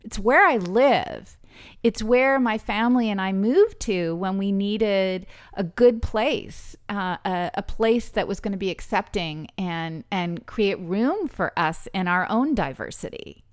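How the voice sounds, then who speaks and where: A woman speaks as a podcast guest, her voice sitting at an ordinary middle pitch. She talks earnestly and thinks aloud, often restarting her sentences as she goes. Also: expressive, a little slow. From British Columbia, Canada. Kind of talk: conversational interview.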